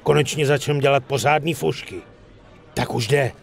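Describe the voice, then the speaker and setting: An actor playing a cocky, eager small-time crook and loyal friend in a dubbed game, brash and streetwise. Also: male voice, medium pitch, gravelly, a faint crowd. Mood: eager, confident. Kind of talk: dubbed video game cutscene acting.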